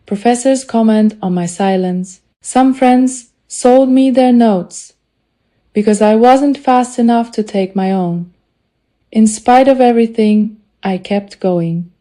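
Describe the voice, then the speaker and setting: A woman with a foreign accent reading a prepared personal testimony in an earnest, deliberate way, in short measured phrases. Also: fairly high pitch, warm tone. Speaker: student telling a personal story.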